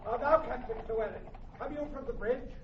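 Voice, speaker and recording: High-voiced plain-spoken captain. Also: male voice, a little fast, gravelly. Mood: inquiring. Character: plain-spoken, sceptical English army captain. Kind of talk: theatrical Shakespearean radio acting. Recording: dull, noisy old radio recording.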